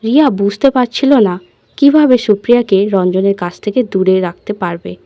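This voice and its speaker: Mellow woman narrating an audio story. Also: medium pitch, moderate pace, dry recording.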